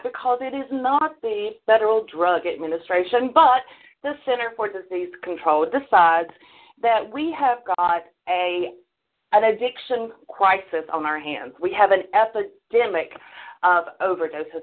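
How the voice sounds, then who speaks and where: Woman chatting over a phone-like line. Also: medium pitch. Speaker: co-host of a call-in show. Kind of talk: casual chat to listeners. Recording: phone-like, band-limited call audio.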